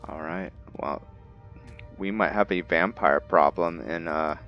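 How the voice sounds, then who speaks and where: A man's voice: sits low, unhurried. A man giving casual roleplay commentary on a game, thinking aloud and slipping into character. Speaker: gaming host. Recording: dull lo-fi mic, music behind.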